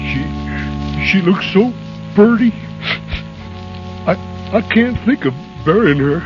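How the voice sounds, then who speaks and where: An old radio play, dull in sound, with music behind. a plaintive little dwarf who is excitable and tender-hearted, his high voice hesitating over repeated words. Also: takes it slowly. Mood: tearful. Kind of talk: 1930s radio acting. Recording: muffled vintage 1930s radio recording.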